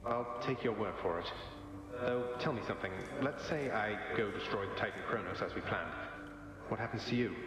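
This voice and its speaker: A dry, wry young underworld prince, his lowish voice drenched in echo. It rings with long, dreamlike reverb, as in a mythic game. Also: a little fast. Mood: wry, uneasy. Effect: heavy dreamlike echo and reverb. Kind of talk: scripted game acting. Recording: very echoey, long hall reverb.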